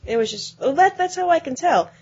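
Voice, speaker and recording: A woman co-hosting a podcast, chatting quickly about video games and often letting her phrases trail off. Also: high-pitched, animated, darkish tone. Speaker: podcast co-host. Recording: dull lo-fi podcast audio.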